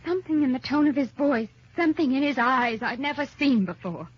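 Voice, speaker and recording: An actress playing a very young wife, earnest and pleading, in the theatrical manner of old-time radio melodrama. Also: female voice, high-pitched, fairly quick, background noise, lightly acted. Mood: uneasy. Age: young adult. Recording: dull old radio recording.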